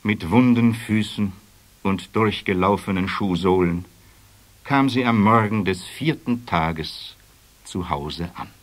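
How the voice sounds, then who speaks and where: A man's voice: fairly low pitch, slow. A man narrating a scripted children's story as the storyteller of an audio play.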